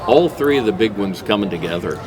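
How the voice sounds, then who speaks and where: Male American host, low-pitched, chatty and encouraging, casual interview over clear background chatter. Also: expressive, fast talker. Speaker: talk show host.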